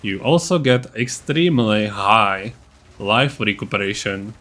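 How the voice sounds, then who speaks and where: A man's voice: on the low side, expressive, a little slow, fairly bright tone. A man narrating a video game guide as its creator, in an informal, matter-of-fact, explanatory way. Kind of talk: casual explanatory build-guide voice-over.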